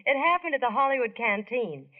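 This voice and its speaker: A woman's voice: a little high, sing-song, fairly quick. A woman delivering a scripted 1940s radio advertisement in a chirpy, polished, emphatic way. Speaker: radio ad spokeswoman. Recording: muffled old radio recording.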